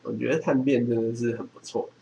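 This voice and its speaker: A man's voice: mid-range voice, fairly even intonation, quick pace, vocal fry, dark tone, slight background noise, low-quality recording. A man chatting casually as a podcast co-host. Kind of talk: casual chat.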